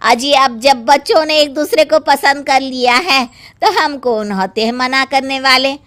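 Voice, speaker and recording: A woman voicing a bossy, gullible older mother-in-law in an animated family story. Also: high voice, unhurried. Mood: eager.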